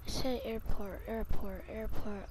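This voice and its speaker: A woman's voice: mid-range voice, steady tone, slow pace. A woman chatting casually and slangily while playing a roleplay video game. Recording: clearly noisy game audio, vehicle sounds.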